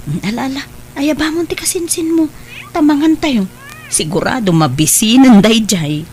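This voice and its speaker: A woman playing a kindly, caring mother in an audio drama, gentle and solicitous, with a Filipino accent. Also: sits high, normal speed, a little hiss or hum, lightly acted. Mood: caring, motherly.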